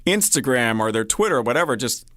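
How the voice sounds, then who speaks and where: A man's voice: mid-range voice, big pitch swings, quick pace, fairly bright tone, dry recording, clean studio sound. A man hosting a casual, conversational interview show. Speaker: show host.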